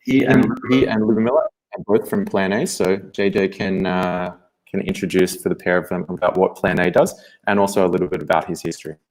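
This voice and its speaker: A man's voice: low-pitched, steady tone, fairly quick, darkish tone, low-quality recording. A startup co-founder introducing his project at a panel, hesitant with many fillers.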